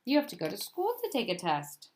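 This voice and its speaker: A woman's voice: medium pitch, huge pitch swings, brisk, darkish tone, a little hiss or hum. A woman reading a storybook part as a cheerful, earnest, literal-minded woman working in a medical office. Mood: puzzled, earnest.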